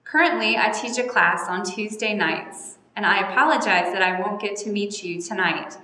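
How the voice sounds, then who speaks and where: A woman teacher from North Carolina lays out her class for parents in a measured, orderly way. Her voice is bright and forward. The recording carries a long, ringing reverb, as if made in a large empty room. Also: mid-range voice. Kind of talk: recorded welcome message to parents.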